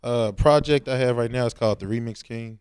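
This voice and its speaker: A man's voice: mid-range voice, brisk, darkish tone, clear, resonant tone, no echo, clean studio sound. A young man, talking casually and slangily as a rapper in an interview. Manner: informal, slangy.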